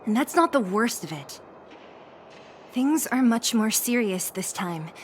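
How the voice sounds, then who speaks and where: A woman's voice: fairly high pitch, big pitch swings, measured pace, slight background noise. An actress playing a tough, blunt young woman fighter in an anime game, with a brusque, no-nonsense delivery. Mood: grave, urgent. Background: game music.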